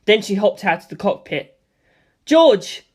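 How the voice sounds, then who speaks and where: A man's voice: sits high, moderate pace. Man reading an action story aloud. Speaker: amateur audiobook reader. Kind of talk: animated first-person story narration.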